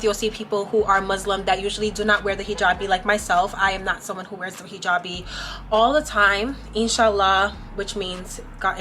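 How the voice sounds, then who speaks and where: A woman's voice: medium pitch, pushed and bright, slight background noise. A young woman vlogging casually to camera, explaining things in a chatty way with plenty of "um" and "like". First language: not Arabic. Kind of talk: casual vlog talk to camera.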